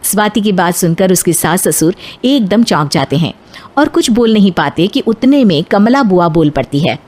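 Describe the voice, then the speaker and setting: A woman's voice: mid-range voice, expressive, normal speed, darkish tone. A woman narrating a scripted moral story in a storytelling style.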